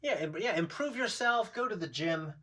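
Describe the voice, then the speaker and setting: Bright-voiced man in his thirties, chatting bluntly. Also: high voice, expressive, moderate pace. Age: 30s. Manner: rambling, blunt, profane. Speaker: reaction show host. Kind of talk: casual chat, episode discussion.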